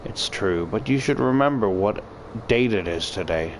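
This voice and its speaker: A man's voice: on the low side, lively intonation, moderate pace, a little hiss or hum, rough recording. A man voicing a devoted, possessive young girlfriend in a visual novel, with earnest romantic lines. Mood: teasing.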